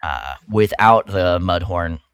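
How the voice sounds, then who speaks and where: A man's voice: deep voice, slow, clean tone, no room sound, studio quality. A man co-hosting a podcast, talking casually and loosely with many uhs and likes. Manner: relaxed, hesitant with uh and like. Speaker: podcast co-host.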